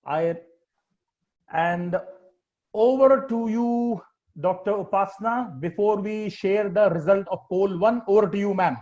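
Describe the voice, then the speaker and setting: A webinar moderator counting down a poll slowly and handing over to the next speaker on a video call. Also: mid-range voice, darkish tone, muffled recording. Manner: slow, deliberate counting.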